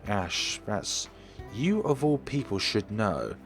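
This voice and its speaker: A man's voice: lowish voice, sing-song, slow, heavily acted. Man acting worried woman, jealous, fussy, exasperated, visual novel game, light music bed. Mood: indignant. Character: Rebecca, worried, jealous, fussing adult woman friend.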